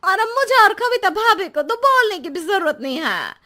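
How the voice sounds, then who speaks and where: Suspicious comedy wife, voiced by a woman: high, animated, scolding, sharp-tongued, for a cartoon. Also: normal speed, full character voice. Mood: chatty. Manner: sarcastic, scolding. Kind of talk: comic cartoon dialogue.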